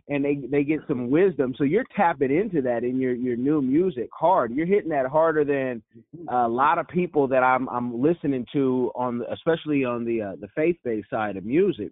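A man hosting an interview, talking earnestly and haltingly with many fillers. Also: mid-range voice, dry recording. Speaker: interview host. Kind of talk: conversational interview. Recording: dry phone-like lo-fi sound.

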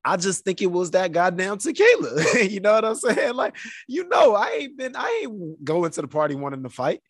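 Man, podcast co-host; slightly high pitch, dry close recording, laughing self-mocking storyteller. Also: very animated, moderate pace, breathy edge, no echo. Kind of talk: casual chat.

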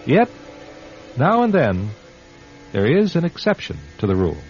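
Solemn male radio narrator, very expressive pitch. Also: moderate pace. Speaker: radio drama host and narrator. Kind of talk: dramatic scripted narration, classic radio. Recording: dull old radio recording.

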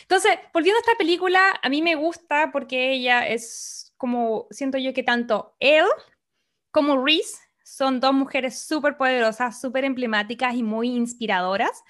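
A young Chilean woman co-hosts a relaxed chat, with a high voice and a Chilean accent. She talks on at length, with plenty of fillers. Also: normal speed. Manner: chatty, reads lists aloud, many fillers. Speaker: podcast co-host. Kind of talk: casual chat.

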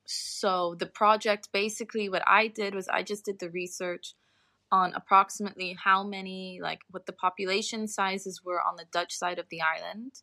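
A woman's voice: medium pitch, flat delivery, moderate pace, bright, forceful tone, no echo. A young adult woman talking informally as an interview guest, explaining her research and qualifying points as she goes.

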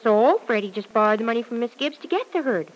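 An actress playing a wholesome young girl in an old radio drama, with a very high voice. She is naive and polite, gushing earnestly and deferring to her elders. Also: animated, quick pace, natural voice, rough recording. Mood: earnest, pleading. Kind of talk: vintage radio serial acting. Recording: vintage radio drama recording.

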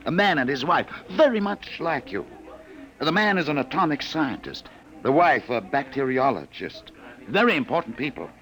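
A man's voice: medium pitch, big pitch swings, moderate pace, a bit bright. A man playing a suave, menacing government searcher who poses as a genial stranger, mock-polite and insinuating, in old-time radio drama style. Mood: falsely polite. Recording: vintage radio recording, faint noise.

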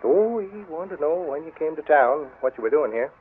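A man's voice: on the high side, animated, fairly quick, slight background noise, close to an everyday voice. An actor playing a nosy, eager-to-please male hotel desk clerk in an old radio drama, hesitant and full of little fillers. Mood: fawning. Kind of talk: 1940s radio acting. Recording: tinny old radio broadcast.

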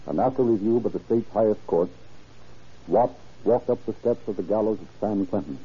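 A male radio announcer in 1930s style. His voice is low and husky, his delivery formal and deliberate. The recording is muffled with age. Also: dark tone. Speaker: narrator and announcer. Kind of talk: 1930s radio scripted narration and sponsor announcing.

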